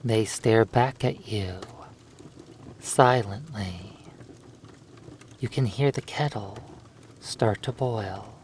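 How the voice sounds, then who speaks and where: A narrator telling a second-person hypnosis story in a measured, halting way, in short phrases with long pauses. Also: mid-range voice, a little mellow, a little hiss or hum.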